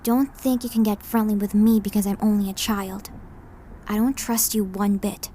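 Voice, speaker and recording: A woman playing a fiercely protective young girl in an anime dub, bossy and matter-of-fact even when threatening. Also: mid-range voice, moderate pace, slight background noise, close to an everyday voice. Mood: wary. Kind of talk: anime fan dub acting.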